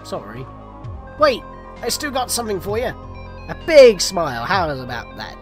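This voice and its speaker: A woman's voice: lowish voice, very animated, moderate pace, faint music behind. A woman voicing a friendly, upbeat male ice cream seller creature in a game. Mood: cheerful.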